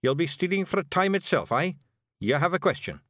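A man voicing a small, blustering male fairy clan chief in a broad Scottish accent, gruff and boastful. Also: fairly high pitch, very animated, a bit bright, no room sound, clean studio sound, pitched above the performer's own voice. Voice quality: gruff, boastful, quick to bristle.

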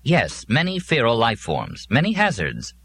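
A man's voice: medium pitch, wildly swooping pitch, a little slow, fairly bright tone, breathy edge, pitched above the performer's own voice, exaggerated voice. A man voicing a dour, scornful robot servant in a science fiction audiobook, making formal, ominous pronouncements. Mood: flat, warning.

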